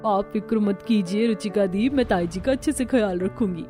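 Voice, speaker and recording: A woman voicing a gentle, dutiful young sister in a cartoon story, earnest, polite and apologetic. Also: medium pitch, normal speed, faint music behind, natural voice. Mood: reassuring. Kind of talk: Hindi cartoon story acting.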